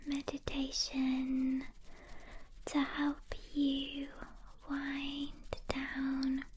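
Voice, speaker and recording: Female ASMR meditation host: soft breathy whisper, extremely unhurried, monotone and soothing, clear noise and hand sounds behind. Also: sits high, poor audio. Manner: gentle, soothing, with long pauses between short phrases. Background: soft hand sounds and clicks.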